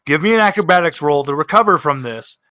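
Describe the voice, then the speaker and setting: A man narrating and improvising as game master of a tabletop game, animated and swearing freely. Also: a little high, quick pace, dry recording.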